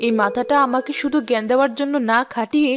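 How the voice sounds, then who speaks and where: A woman's voice: high-pitched, steady tone, fast talker, close to an everyday voice. A woman playing a nagging, sarcastic village housewife in a cartoon. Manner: sarcastic, argumentative. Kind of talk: cartoon voice acting.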